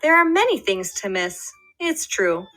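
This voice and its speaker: A woman's voice: mid-range voice, sing-song, unhurried, fairly bright tone, faint music behind. A young adult woman, a first grade teacher, reading a picture book aloud to her class and talking to her students.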